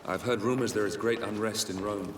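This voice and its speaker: Man playing a quick, echoing young Roman soldier. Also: fairly low pitch, steady tone, natural voice. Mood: concerned. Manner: earnest, respectful. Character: eager, dutiful young Roman soldier son. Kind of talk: dramatic video game acting. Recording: long echo, background noise.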